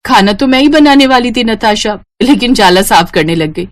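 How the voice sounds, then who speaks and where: A woman's voice: a little high, lively intonation, normal speed, close to an everyday voice. A woman voicing a simple, agreeable young daughter-in-law in a family storybook tale. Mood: obliging.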